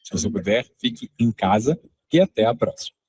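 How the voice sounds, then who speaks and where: A male podcast presenter delivers scripted credits. His voice sits a little low and has a husky grain. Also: lively intonation, fairly quick, darkish tone, no room sound.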